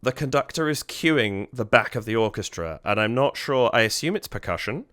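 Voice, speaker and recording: A man's voice: medium pitch, lively intonation, moderate pace, fairly bright tone, slight background noise. A man hosting a reaction video, slightly stuffy from a cold, thinking aloud with admiring, casual music commentary. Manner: admiring, thinks aloud, hums melody lines.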